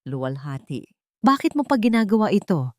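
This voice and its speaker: A woman voicing a remorseful, humbled wealthy man in an audio storybook drama, hushed and earnest. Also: mid-range voice, very animated, normal speed, dry recording, studio quality, natural voice. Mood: puzzled, ashamed.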